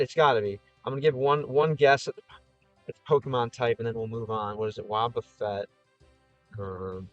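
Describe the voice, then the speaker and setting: A man's voice: medium pitch, muffled recording. A man with a Chicago Midwest accent, slightly whiny vowels, thinking aloud as he answers comments on a livestream with lots of fillers and restarts. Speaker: streamer and game creator.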